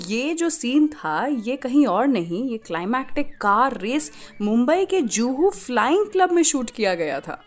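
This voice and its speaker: High-pitched Indian woman hosting radio. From Indore, India. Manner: conversational, switches between Hindi and English. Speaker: radio show host. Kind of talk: chatty radio show presenting, storytelling.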